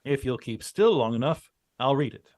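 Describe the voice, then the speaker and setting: A man's voice: medium pitch, lively intonation, moderate pace, a little mellow, close to an everyday voice. A man acting the curt, dry, commanding leader of a trio of adventurers in an old-time radio drama.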